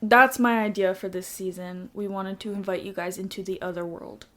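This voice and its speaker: A soft-spoken woman co-hosts a casual podcast. She rambles, giggles and fills her talk with "like", and her voice sits at a medium pitch. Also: fairly even intonation, moderate pace. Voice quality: soft, quiet voice. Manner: giggly, rambling, says "like" often. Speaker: podcast co-host. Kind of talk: casual chat.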